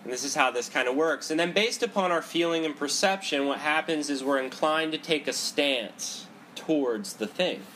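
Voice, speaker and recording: A man with a head cold teaching a seated group about meditation, talking loudly in a conversational way and often checking in with "right?". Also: a little high, moderate pace, few pauses, a little hiss or hum, low-quality recording. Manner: loud talker; conversational, frequent "right?" and "you know". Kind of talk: Buddhist psychology talk to a group. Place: indoor room with a group, seated cross-legged.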